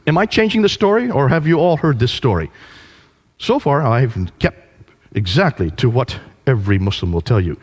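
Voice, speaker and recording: A man giving a lecture to an audience, telling a story with animated delivery and rhetorical questions. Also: medium pitch, moderate pace.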